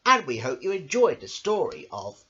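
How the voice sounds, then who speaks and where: A man reading a children's picture book aloud in a lively sing-song storytelling voice, breaking off now and then for casual asides. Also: fairly high pitch, normal speed, lo-fi sound.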